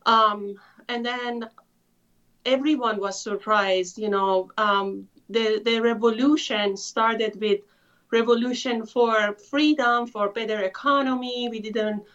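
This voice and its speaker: Higher-voiced woman from Iran, lo-fi sound. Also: fairly even intonation, fairly bright tone. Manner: thoughtful, many filler pauses. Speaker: author, interview guest. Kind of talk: conversational storytelling. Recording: muffled lo-fi audio.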